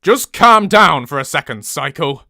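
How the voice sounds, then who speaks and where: Casting: gruff male centaur played by a narrator, Northern English, forceful, blunt, sharp-edged delivery, dry close recording. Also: medium pitch, lively intonation, extremely slow, no echo, exaggerated voice. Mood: gruff, exasperated.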